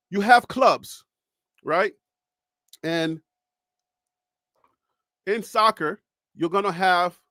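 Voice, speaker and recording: Brief: Liberian-accented man, sports stream host, raised pitch, dry close mic, loose storyteller. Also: fairly bright tone, no echo. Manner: storytelling, chatty with audience. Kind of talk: live-stream sports talk. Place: home studio.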